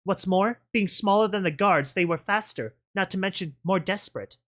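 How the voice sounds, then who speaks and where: An earnest little filly in a dark pony story, voiced by a man. The pitch sits well above a usual male voice. She explains things in a deferential, matter-of-fact way. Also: moderate pace.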